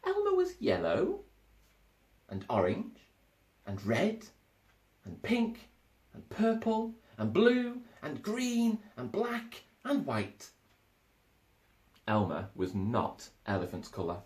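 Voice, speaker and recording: Animated man reading a children's story. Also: on the high side, slow, long pauses. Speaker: storybook reader. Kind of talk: expressive children's story narration. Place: small living room, indoors. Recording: echoey living room.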